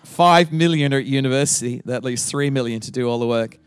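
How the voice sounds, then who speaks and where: A man's voice: medium pitch, fairly quick, a little hiss or hum. A middle-aged man with an Australian accent, a guest pastor opening his sermon with playful teasing and frequent laughter.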